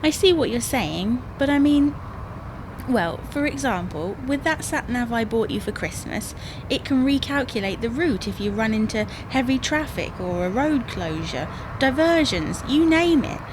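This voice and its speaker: A British wife acted by a woman with a fairly high voice, exasperated and bossy. She nags briskly and insistently, as in a domestic radio comedy. Clear vehicle noise runs behind her. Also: expressive, natural voice. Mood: anxious, reasoning. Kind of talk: domestic comedy audio drama. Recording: noisy, car ambience.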